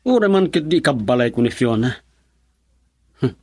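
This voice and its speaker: An actor playing a selfish straying husband with a Filipino accent, brooding and defiant in a radio drama monologue. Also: male voice, mid-range voice, sing-song, moderate pace, a little mellow, husky, no echo.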